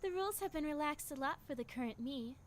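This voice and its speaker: Woman acting a gentle, high-voiced young female guide. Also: lively intonation, fast talker. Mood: gentle, assured. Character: grown young woman time traveller, gentle, guiding. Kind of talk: anime fan dub acting. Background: background music.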